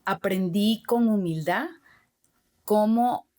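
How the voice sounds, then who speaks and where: A woman's voice: medium pitch, slow pace, mellow. A middle-aged woman, a former corporate manager, answering interview questions earnestly, with frequent fillers and tag questions. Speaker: podcast guest, author and former corporate manager.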